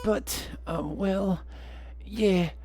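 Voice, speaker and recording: A man's voice: high-pitched, very slow, dark tone, slightly rough, soft background music, voice pushed up, exaggerated voice. A man voicing a polite, apologetic young housemaid bird who speaks hesitantly in a video game. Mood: sheepish, confiding.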